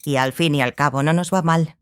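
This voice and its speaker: A woman playing a learned, exacting small sorceress who mentors a student, speaking in a measured, matter-of-fact way in an audiobook drama. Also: sits low, clear, resonant tone, natural voice. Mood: composed, probing.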